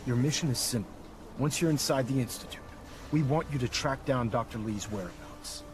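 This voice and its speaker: A man playing a stern, zealous military leader in a video game, speaking in a formal, authoritative, deliberate way. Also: medium pitch, close to an everyday voice. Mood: grave, firm. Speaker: military commander briefing a soldier. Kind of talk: video game cutscene acting. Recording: noisy game audio with engine ambience.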